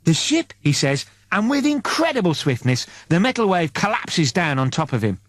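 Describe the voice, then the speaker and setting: A man reading an audiobook as a dramatic, suspenseful narrator in deliberate short phrases. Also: on the high side, very animated, dry recording.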